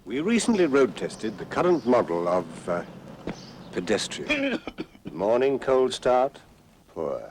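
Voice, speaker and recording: A dry motoring reviewer delivers a mock road-test report. The phrasing is clipped and matter-of-fact, with lively rises and falls in a medium register. The old film soundtrack is clearly noisy. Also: male voice, medium pitch, slow, long pauses. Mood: dry, deadpan. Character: deadpan motoring reviewer assessing a pedestrian as a car.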